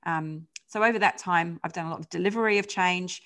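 A woman's voice: fairly low pitch, brisk, a bit bright. A middle-aged woman with a British accent, talking conversationally as a podcast guest, with frequent fillers and self-corrections. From United Kingdom. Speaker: guest, change management consultant. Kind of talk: podcast interview.

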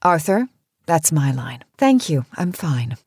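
An aging, driven cosmetics tycoon in a campy radio play. Her voice is very low for a woman, cool and commanding, with clipped, imperious phrasing. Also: big pitch swings, slow pace, heavy background noise, full character voice. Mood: weary, determined. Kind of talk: campy satirical audio drama acting.

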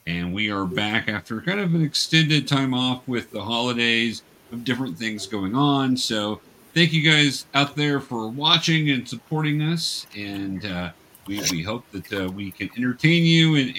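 Casting: male game master, conversational and explanatory, halting phrasing, mid-range pitch, slight background noise. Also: animated, bright, forceful tone. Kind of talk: casual explanatory hosting of a tabletop game.

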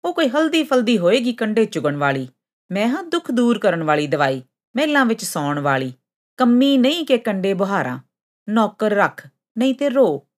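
A haughty storybook girl made of ginger root, acted out by a woman narrator. She pitches her voice up, scolds indignantly and boasts of her high station with open scorn. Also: big pitch swings, moderate pace, natural voice. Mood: scornful anger.

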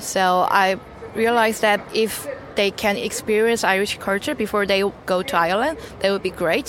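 A woman's voice: mid-range voice, a bit bright, slight background noise. A woman with a Korean accent, a non-native English speaker, earnestly explaining her work as a promotions officer in simple phrasing. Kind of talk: interview answers.

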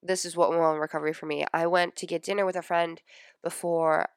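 A woman's voice: on the low side, level tone, quick pace, clear, resonant tone, no echo, studio quality. A young woman in her twenties with a stuffy nose, hosting her own podcast in a casual, chatty way, full of "like" and "um". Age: 20s. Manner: chatty, lots of "like" and "um". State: stuffy nose from a sinus infection. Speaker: podcast host. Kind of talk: casual personal podcast chat.

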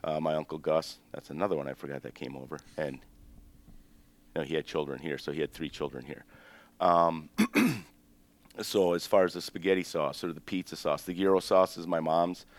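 A man in his fifties, born in Greece and raised in the United States, telling his family's story as a restaurant-owner guest in a relaxed, rambling way with many ums. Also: extremely low pitch, moderate pace. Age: 50s. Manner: relaxed, rambling, with frequent ums and self-corrections. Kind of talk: casual interview storytelling.

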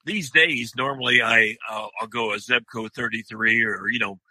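Deep-voiced older man, halting. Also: no room sound. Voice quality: deep, loud voice. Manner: slow, halting, many fillers and restarts. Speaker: guest, outdoor writer. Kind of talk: podcast interview.

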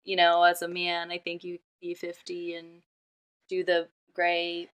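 A woman's voice: lowish voice, unhurried, smooth. A woman co-hosting a podcast in casual chat, often chiming in with short agreements. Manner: steady, frequent listener affirmations. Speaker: podcast co-host.

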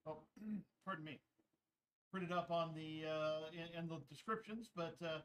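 A man hosting a casual podcast chat, rambling with many filler pauses as he wraps up. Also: fairly high pitch, fairly bright tone, low-quality recording. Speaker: podcast host.

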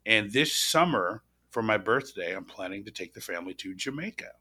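Bright-voiced chatty American man. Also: lowish voice, brisk, a little hiss or hum. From west coast of the US. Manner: relaxed, chatty, repeats words while starting sentences. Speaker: podcast co-host. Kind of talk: casual chat.

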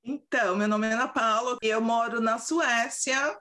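A woman's voice: fairly high pitch, normal speed, bright, forceful tone. A woman with a São Paulo Brazilian accent hosting a podcast, chatty, informal and prone to laughing. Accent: Brazilian Portuguese, São Paulo. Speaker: podcast co-host. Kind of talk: casual podcast chat.

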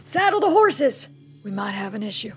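A woman's voice: fairly high pitch, big pitch swings, moderate pace, soft background music. A woman playing a stern, vengeful, wary infantry soldier who speaks in terse, commanding lines. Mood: resolute, urgent.

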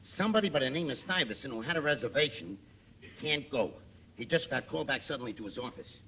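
Brash, bright-voiced wisecracking radio sidekick. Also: male voice, medium pitch, animated, fast talker. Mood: brash, urging. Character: brash, scheming male sidekick hustling his pal along. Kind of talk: 1940s radio comedy acting. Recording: slightly noisy 1940s radio recording.

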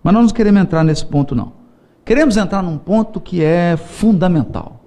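A man giving a religious lecture, humorous and animated, repeating key words for emphasis. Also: high voice, fairly quick. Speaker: Spiritist lecturer.

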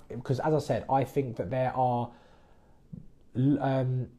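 Man, podcast host, chatty, plain explanations, warm mellow voice. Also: mid-range voice. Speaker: mortgage broker and podcast host. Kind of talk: casual live Q&A podcast. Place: based in the Midlands.

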